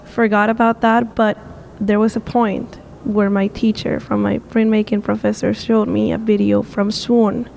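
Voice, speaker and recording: A woman's voice: mid-range voice, little pitch movement, normal speed, vocal fry, a little mellow, a little hiss or hum, low-quality recording. A woman in her twenties from Peru, speaking English as a second language, answering interview questions eagerly with many fillers and restarts. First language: Spanish. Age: 20s. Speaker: guest, street art tour guide.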